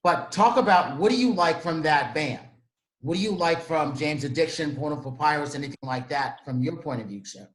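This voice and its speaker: A man's voice: on the high side, lively intonation, brisk. Easygoing male host, echoey room. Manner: directs the show, easygoing. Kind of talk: hosting a video call show. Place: home room. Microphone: video call.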